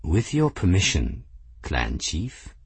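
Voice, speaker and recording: Man voicing a proud, low-voiced warrior cat prince. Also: huge pitch swings, takes it slowly, slightly rough, dry recording, exaggerated voice. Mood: quiet menace. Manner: formal, oratorical, menacing. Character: proud, fierce male warrior cat prince, coldly defiant. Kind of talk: fantasy audiobook character voice.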